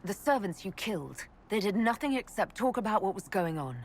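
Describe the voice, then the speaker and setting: A composed, mid-pitched elf leader. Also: female voice, very animated, brisk. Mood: firm. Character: composed pragmatic female elf leader, firm and diplomatic. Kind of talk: video game cutscene acting.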